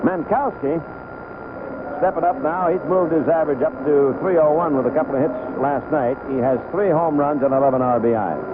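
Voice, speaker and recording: A man calling baseball play-by-play live on the radio in short, unhurried phrases. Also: a little high. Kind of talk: live radio sports commentary. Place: outdoor ballpark broadcast booth. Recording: tinny, noisy old AM radio broadcast.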